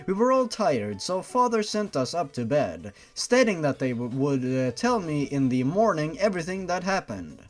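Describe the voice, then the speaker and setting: A man's voice: fairly high pitch, very animated, moderate pace, few pauses. A man reading a story aloud in a mocking, theatrical way, breaking off into sarcastic, foul-mouthed asides. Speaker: host reading and mocking fan fiction. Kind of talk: mocking dramatic read-aloud with ranting asides.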